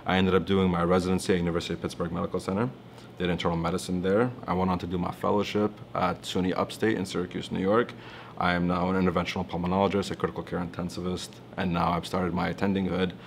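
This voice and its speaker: A man's voice: low-pitched, gravelly, slight background noise. A man in his thirties from Toronto, a physician speaking earnestly in a seated studio interview testimonial. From Toronto, Canada. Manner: earnest, reflective, gestures while talking. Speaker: alumnus physician. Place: studio with branded backdrop, indoors.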